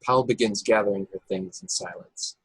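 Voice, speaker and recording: A man narrates the stage directions of a script at a live table read. His voice is fairly low and husky. The sound is close and dry, with no room echo. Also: fairly even intonation, normal speed. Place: remote video call, dry sound.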